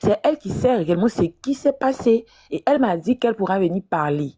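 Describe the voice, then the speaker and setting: A woman's voice: medium pitch, lively intonation, brisk, warm tone, husky. A woman guest on a live talk show, telling her story hesitantly with frequent restarts and repetitions. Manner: hesitant, restarts and repeats herself. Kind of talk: live-stream interview testimony.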